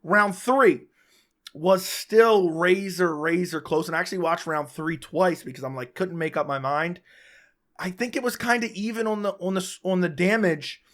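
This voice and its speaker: A man's voice: high-pitched, a bit bright. A man who is sick and losing his voice, hosting a casual, opinionated solo recap of a fight round by round. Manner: casual, opinionated, talks fast in bursts with restarts. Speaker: MMA commentator, channel host.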